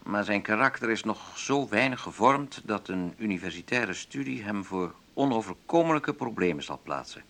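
A male careers psychologist in a radio drama. His voice sits very low, and he delivers his words in a formal, official manner, measured and detached. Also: expressive, a bit bright.

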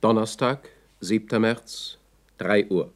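A man's voice: on the low side, slow pace. A man making terse scripted time announcements in a radio play.

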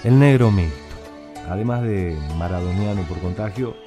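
Man acting a brooding low-voiced Argentine factory worker. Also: moderate pace, music in the background, close to an everyday voice. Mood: brooding, reflective. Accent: Rioplatense Argentine. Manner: slangy, reflective. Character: troubled working-class male factory worker, brooding and foul-mouthed. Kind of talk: radio drama acting and first-person narration.

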